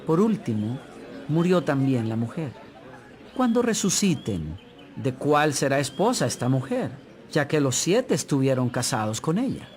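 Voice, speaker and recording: A smug Sadducee in a biblical audio drama. He argues theatrically, in a medium-pitched voice that moves up and down a great deal. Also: big pitch swings, unhurried, a little mellow. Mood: smug, challenging. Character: smug Sadducee man posing a tricky riddle. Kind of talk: dramatized audio Bible acting.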